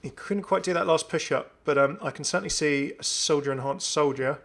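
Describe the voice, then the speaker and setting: A man hosting a gaming video, giving casual, enthusiastic commentary in a creaky voice as he browses game items. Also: on the high side, fairly quick, fairly bright tone. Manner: chatty, enthusiastic. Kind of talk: casual gameplay showcase commentary.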